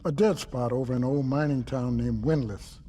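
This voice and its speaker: A man's voice: medium pitch, moderate pace, dark tone, husky, close to an everyday voice. An actor playing a wordy, fatherly resistance leader in a video game, with florid, folksy old-fashioned phrasing. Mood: encouraging, earnest. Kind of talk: video game cutscene acting.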